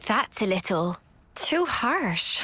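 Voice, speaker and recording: An actress playing a gentle, concerned young heroine in a video game. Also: female voice, mid-range voice, very animated, slow, husky, dry recording, full character voice. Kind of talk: game cutscene acting. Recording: loud game music underneath.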